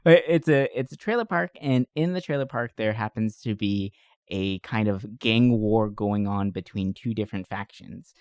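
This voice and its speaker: A man hosting a podcast in casual chat, talking in an informal, joking, animated way. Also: fairly low pitch, moderate pace, smooth, no echo, studio quality. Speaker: podcast host. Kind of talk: casual chat about movies.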